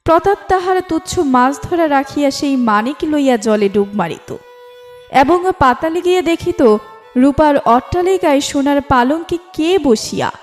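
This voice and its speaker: High-voiced woman narrating a literary story. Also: expressive, unhurried, a little mellow. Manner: formal storytelling delivery. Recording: music bed under the voice. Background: instrumental music.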